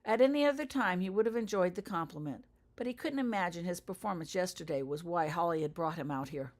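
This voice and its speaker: A woman's voice: lowish voice, fast, a little mellow. A woman reading her own fiction aloud as a scripted audiobook narration for younger listeners. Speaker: author reading own story.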